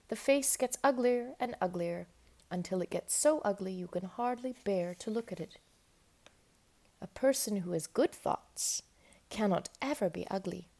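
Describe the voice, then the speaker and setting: A woman reading a children's book aloud in an expressive, emphatic storytelling manner. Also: on the high side. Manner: animated, emphatic storytelling with dramatic stresses. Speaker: storybook reader.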